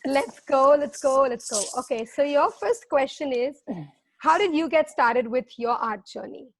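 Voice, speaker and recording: A woman's voice: high-pitched, lively intonation, unhurried, no echo, poor audio. A woman from India co-hosting a casual chat show, bubbly and eager as she asks questions. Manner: bubbly, eager interviewer with fillers. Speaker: co-host.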